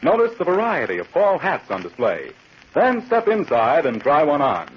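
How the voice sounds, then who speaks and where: A man's voice: fairly high pitch, huge pitch swings, moderate pace, breathy edge. A man announcing in 1940s radio style, with a polished, salesmanlike delivery. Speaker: announcer reading the sponsor's advertisement. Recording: muffled 1940s radio recording.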